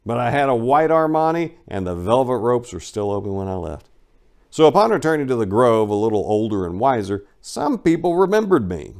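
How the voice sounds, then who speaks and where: A man's voice: lowish voice, a little mellow. A man reading his own book as a dramatic first-person narration, with a colloquial, cocky storytelling manner.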